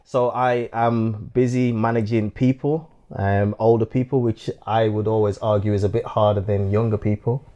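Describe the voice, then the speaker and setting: A man's voice: on the low side, fairly even intonation, normal speed, warm tone, lo-fi sound. A man in his thirties co-hosting a casual chat at home, easygoing and affirming. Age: 30s. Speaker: co-host.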